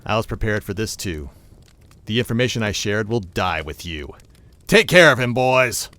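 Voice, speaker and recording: An actor playing a scheming, pompous crime boss with deliberate menace in an audio drama. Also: male voice, on the low side, animated, pushed and bright, a little hiss or hum. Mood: menacing. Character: smooth, scheming male criminal mastermind. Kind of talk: audio drama villain acting.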